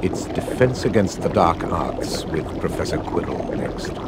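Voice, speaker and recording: A cold, contemptuous English potions master for a fantasy game. His voice is extremely low, and he speaks with deliberate, lofty disdain. Loud noise sits behind him. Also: big pitch swings. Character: cold, contemptuous middle-aged male wizard potions master. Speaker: potions teacher.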